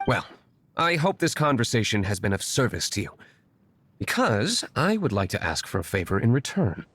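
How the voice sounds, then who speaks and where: A man's voice: on the low side, big pitch swings, normal speed, a bit bright, slightly rough, exaggerated voice. A man playing a brooding, aloof young nobleman and secret vigilante in a video game, speaking sternly and formally with curt disdain.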